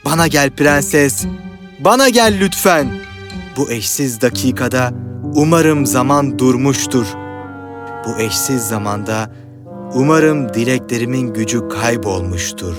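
A man's voice: medium pitch, animated, a little slow, music in the background, close to an everyday voice. A man playing a polite, romantic young hero in a fairy-tale cartoon, earnest and pleading. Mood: yearning. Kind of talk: animated fairy tale acting.

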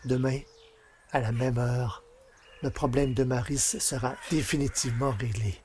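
Male actor as bitter village carpenter, vengeful, brooding, menacing monologue, middle pitch, audio drama, faint background noise. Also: steady tone, a little slow, darkish tone, natural voice. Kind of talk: French audio fiction monologue.

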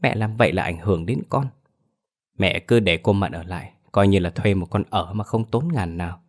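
A man's voice: low voice, moderate pace. A man voicing a grown son who talks in a coaxing, reasonable way. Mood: persuasive. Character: calculating adult son, smooth and persuasive.

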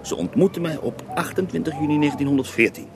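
A man's voice: fairly high pitch, lively intonation, quick pace, a crowd in the background. An actor playing an earthy Russian holy man who tells his life story with theatrical relish in a radio drama. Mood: dramatic recounting. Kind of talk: radio drama acting in interview form. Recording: noisy older radio drama recording.

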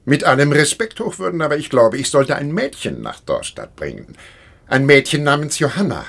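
An actor playing a dutiful, blunt medieval bishop's messenger in a German radio play, gruff, formal and insistent. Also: male voice, fairly high pitch, very animated, moderate pace, fairly bright tone, heavily acted. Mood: official.

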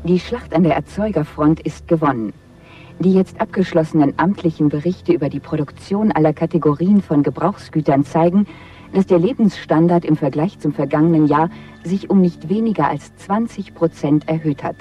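A formal state announcer in a radio play, proclaiming triumphant bulletins. The voice is fairly high and soft-edged. It comes from a distant loudspeaker, with music behind it. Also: male voice, normal speed. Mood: solemn triumph. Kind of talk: radio drama propaganda announcement.

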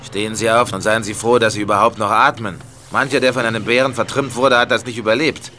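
A man's voice: fairly low pitch, steady tone, quick pace, bright, forceful tone, faint music behind. A man playing a domineering, curt and hot-tempered innkeeper in an audio drama. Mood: gruff, scornful. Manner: curt, commanding.

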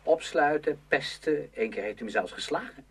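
A man's voice: on the high side, expressive, normal speed, fairly bright tone, slightly rough, heavily acted. A man acting a moody, confiding teenage schoolboy in a Dutch radio play. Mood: bitter, confiding. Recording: hum and faint noise, radio drama recording.

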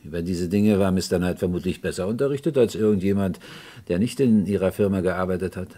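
An actor playing a polite, probing police inspector with a hesitant, courteous way of questioning in a German radio crime play. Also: male voice, sits low, quick pace, mellow. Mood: polite, measured.

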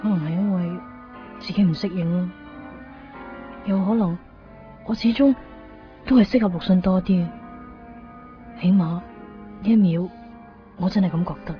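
A woman acting a conflicted young woman in a Cantonese radio drama, speaking with a Hong Kong accent in halting, introspective phrases. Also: on the low side, lightly acted. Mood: anxious, confessional. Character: conflicted young woman torn in love, brooding and evasive. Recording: dull lo-fi 1990s radio recording, music behind. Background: background music.

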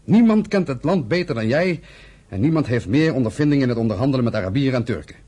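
Man acting a forceful, husky militant brother. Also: mid-range voice, expressive, quick pace, natural voice. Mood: persuasive. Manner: forceful, argumentative, scornful. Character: militant, impatient younger brother, pioneer settler in Palestine. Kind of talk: Dutch radio play acting.

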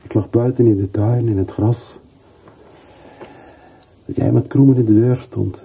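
An actor playing a weary, regretful middle-aged former soldier over a telephone in a radio play, subdued, in halting short phrases. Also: male voice, mid-range voice, fairly even intonation, gravelly, husky, exaggerated voice. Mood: sombre. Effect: telephone voice filter. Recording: muffled phone-like old radio recording.

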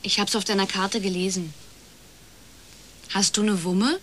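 A woman's voice: mid-range voice, fairly even intonation, measured pace, fairly bright tone, a little hiss or hum, lightly acted. Actress as a nosy, breezy young woman. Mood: breezy, curious. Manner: casual, teasing, probing. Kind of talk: naturalistic German radio crime drama acting.

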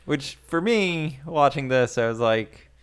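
A man's voice: mid-range voice, lively intonation, a little slow, clean tone. A man co-hosting a casual podcast, recapping a show with wry, sarcastic asides. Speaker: podcast co-host.

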